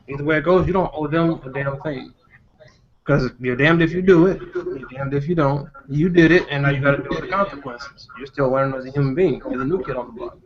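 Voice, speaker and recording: A man in a casual fan discussion, giving opinions in slangy talk and repeating himself for emphasis. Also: fairly high pitch. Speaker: panelist in a film discussion. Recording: muffled recording amid background chatter.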